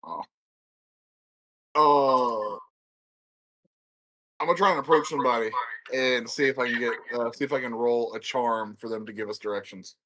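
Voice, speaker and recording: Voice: medium pitch, moderate pace, long pauses, sharp tone, poor audio. A player chatting casually and joking through a tabletop session. A faint hiss sits behind the voice. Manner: laughing, joking.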